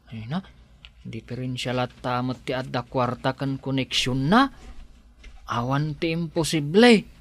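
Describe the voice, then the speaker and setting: A man's voice: medium pitch, a little slow, close to an everyday voice. An actor with an Ilocano accent playing an earnest young village man who drives for a living, in a radio drama. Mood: earnest, explaining.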